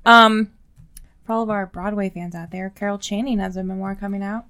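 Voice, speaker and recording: A woman's voice: medium pitch, steady tone, brisk, pushed and bright. A woman co-hosting a podcast in a casual, conversational style. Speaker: podcast co-host. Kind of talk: casual chat.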